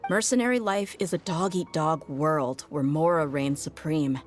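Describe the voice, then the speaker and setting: A woman's voice: deep voice, normal speed, faint music behind, lightly acted. A woman playing a tough, easygoing desert mercenary in an anime game, speaking in a casual, frank, reassuring way. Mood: evasive.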